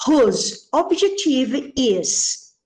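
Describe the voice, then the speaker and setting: A woman with a bright, high-pitched voice introduces a guest speaker. She reads the credentials in a formal, list-like manner before handing over the floor. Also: very animated, drawn-out words, rough recording. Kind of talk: reading a speaker biography.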